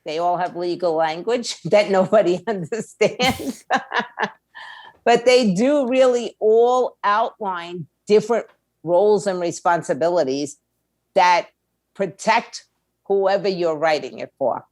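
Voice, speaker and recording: A woman's voice: mid-range voice, slow pace, darkish tone, no echo. A woman speaking as a guest expert in an interview, explaining things in a plain-spoken, emphatic, conversational way. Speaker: guest, music attorney and indie label owner.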